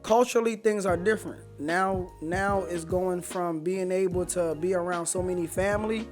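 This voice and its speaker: High-voiced young guy, slangy storyteller. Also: moderate pace, over music. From Haiti. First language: Haitian Creole. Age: 20s. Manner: animated, slangy, profane. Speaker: podcast guest. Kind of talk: casual personal storytelling.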